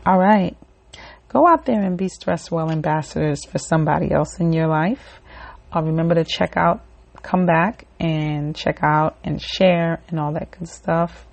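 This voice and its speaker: Gravelly low-voiced woman podcast host. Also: a little slow. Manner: rambling, conversational, filler-heavy; leads a relaxation and affirmation segment. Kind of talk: casual solo podcast talk.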